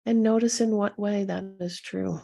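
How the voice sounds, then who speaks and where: Husky, creaky woman guiding meditation. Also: mid-range voice, fairly even intonation. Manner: soothing, gentle, unhurried instruction with pauses. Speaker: meditation coach and guide. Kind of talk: guided meditation, addressing listeners directly.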